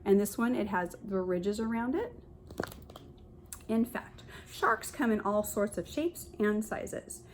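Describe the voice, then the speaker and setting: A woman kindergarten teacher reads a picture book aloud to young children, pausing to explain and point things out in simple words. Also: medium pitch, slight background noise.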